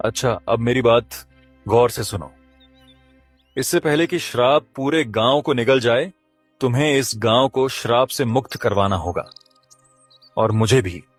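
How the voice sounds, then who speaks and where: A man's voice: mid-range voice, unhurried, pauses often, no echo, faint music behind, natural voice. A man voicing a mysterious, solemn cursed kite bird in a cartoon story, speaking in an earnest, portentous way. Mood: grave, instructing.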